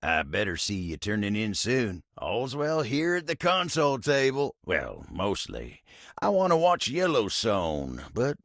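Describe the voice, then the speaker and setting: A man's voice: fairly low pitch, huge pitch swings, unhurried, fairly bright tone, no echo, studio quality, heavily acted. A man voicing a friendly, humble, hard-working talking table in a video game, with a folksy, neighborly American country drawl. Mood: friendly, relaxed.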